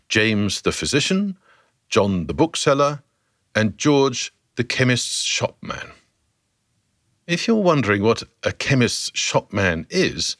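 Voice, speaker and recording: A man narrating a scripted story for a podcast in a theatrical, measured way with dramatic pauses. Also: mid-range voice, a bit bright, no echo, clean studio sound.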